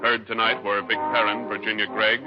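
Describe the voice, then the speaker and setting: An American man announcing on 1950s radio, reading a script with formal, measured enunciation. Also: on the low side, level tone, loud music behind the voice. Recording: muffled vintage 1950s radio recording.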